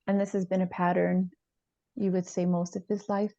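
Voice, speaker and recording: Gravelly, mellow woman acting as a supportive therapist. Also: fairly low pitch, level tone, moderate pace. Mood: attentive, supportive. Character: calm, supportive female therapist running a video session. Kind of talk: improvised role-play dialogue. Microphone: video call. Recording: slightly dull, roomy video-call audio.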